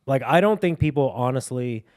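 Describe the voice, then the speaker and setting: A young man co-hosting a podcast, joking and rambling in casual chat with quick laughs. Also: mid-range voice, expressive, a little mellow, no echo, clean studio sound. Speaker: podcast co-host. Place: home studio with dogs.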